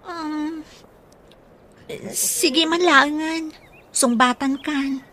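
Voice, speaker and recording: An actress playing a sweet, bashful young woman in a radio drama, with a coy, drawn-out delivery. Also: high-pitched, steady tone, a crowd in the background.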